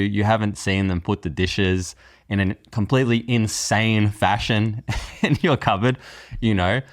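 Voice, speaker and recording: A man's voice: sits low, dry recording, clean studio sound. A man speaking as an interview guest, reflective and conversational, piecing his thoughts together with some repeated words.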